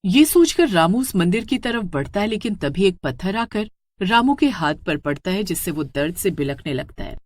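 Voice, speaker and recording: A woman tells a scripted horror tale as its narrator. Her voice sits a little low and swings widely in pitch, with dramatic suspense. The sound is dry and close. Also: big pitch swings, moderate pace, darkish tone, no echo.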